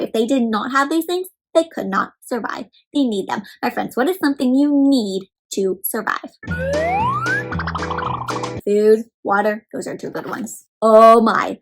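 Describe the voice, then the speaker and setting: A woman doing an animated, interactive picture book read-aloud for children, full of questions to the listeners. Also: high-pitched, poor audio.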